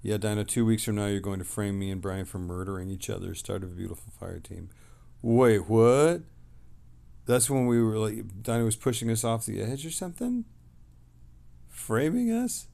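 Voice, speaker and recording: A man casually hosting a livestream, reading messages aloud and replying in a rambling, halting way that trails off. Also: lowish voice, animated, creaky, warm tone.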